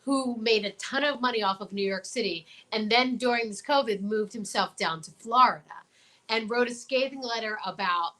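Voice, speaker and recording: A woman's voice: fairly high pitch, normal speed, a bit bright, low-quality recording. A woman talk show host chatting casually, emphatic and opinionated, often posing questions. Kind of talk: casual chat.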